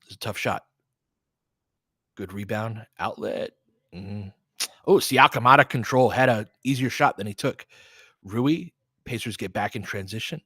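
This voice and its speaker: American guy doing casual hoops play-by-play, chatty with opinions, bright punchy voice, dry close sound. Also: lowish voice, unhurried, pauses often, slightly rough, dry recording. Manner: informal, conversational, opinionated. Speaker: play-by-play host of a fan stream. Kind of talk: basketball play-by-play mixed with casual fan chat.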